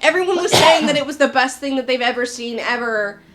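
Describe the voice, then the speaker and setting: Female podcast co-host, high voice, excitable and sweary, loose conversational delivery. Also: a little fast. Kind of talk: casual group chat.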